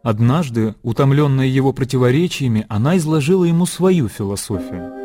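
Male narrator for radio drama: mid-pitched, measured literary storytelling, music bed. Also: lively intonation. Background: music and birdsong.

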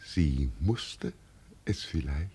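An actor playing a solemn, humble ageing labourer with grand delusions in a German radio play, speaking weightily and mysteriously in halting phrases. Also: male voice, extremely low pitch, lively intonation, dark tone, breathy edge, heavily acted. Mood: awed, solemn. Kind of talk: 1950s German radio drama acting.

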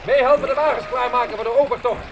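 A man's voice: very high voice, steady tone, fast talker. An actor playing a father who leads a wagon train and gives firm orders to his men in a radio play. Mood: commanding. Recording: very noisy old radio recording.

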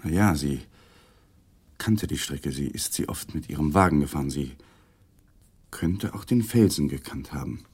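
A guarded, tense husband gives short, evasive answers and gets defensive under questioning. His voice is very low and slightly husky, and it swings widely in pitch. It is a German radio play from the 1980s. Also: animated, moderate pace, long pauses, a little mellow, exaggerated voice. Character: tense, guarded male husband of a dead wife.

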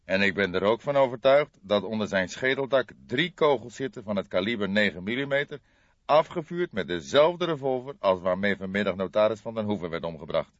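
A man acting out a weary old male detective from a crime audiobook. He is low-pitched, sombre and deliberate, recorded dry and slightly dull. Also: lively intonation, no room sound, natural voice.

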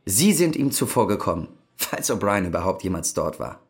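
An actor playing a hard, accusing police interrogator in a German radio crime drama, insistent, pointed and sardonic. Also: male voice, sits low, very animated, normal speed. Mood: accusing, scornful.

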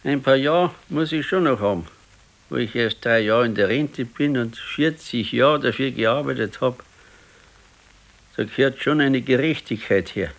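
A gloomy old husband in a naturalistic radio drama, played by a male actor. He speaks in a medium-pitched voice with a Bavarian accent and broods and complains in plain, colloquial words, fearful and worn by age. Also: unhurried, lightly acted. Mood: anxious, resigned. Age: 60s. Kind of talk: naturalistic radio play dialogue.

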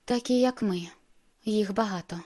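A woman's voice: medium pitch, normal speed, a little mellow, lightly acted. A woman voicing a confident female vampire seer in an audiobook, smug and soothing in manner. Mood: cool. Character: self-assured, cool female vampire seer.

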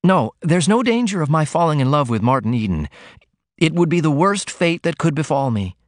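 A man's voice: a little high, big pitch swings, moderate pace, dry recording, studio quality, voice pushed up. A man voicing an earnest, innocent young woman who confides in her mother in an audiobook reading. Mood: earnest confiding.

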